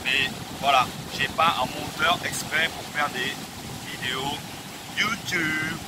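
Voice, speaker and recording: A man, speaking halting in a casual question-and-answer vlog. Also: a little high, fairly even intonation. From Vietnam, of Chinese descent, moved to France at fourteen. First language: not French, learned French as a teenager. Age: 50s. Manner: halting, non-native French phrasing with repeated phrases. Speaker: YouTuber answering viewer questions. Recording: loud wind and ambient outdoor noise.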